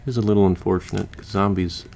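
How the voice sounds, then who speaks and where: A man's voice: low voice, fairly even intonation, fast, creaky, darkish tone, slight background noise. A man playing a chatty, easygoing surgeon who patches up the undead, explaining casually as he works in a listener roleplay.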